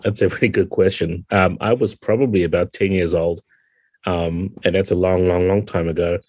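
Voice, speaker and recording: Low, husky man from New Zealand. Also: steady tone, moderate pace, darkish tone, no room sound. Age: 50s. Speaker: interview guest, game designer. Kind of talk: casual conversational interview.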